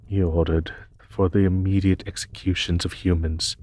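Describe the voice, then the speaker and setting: Man acting a deep, husky, courteous demon emperor. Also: flat delivery. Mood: weary, regretful. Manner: formal, measured, gently spoken. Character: courteous, sorrowful demon emperor, weary of war. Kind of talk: slow, intimate audio roleplay.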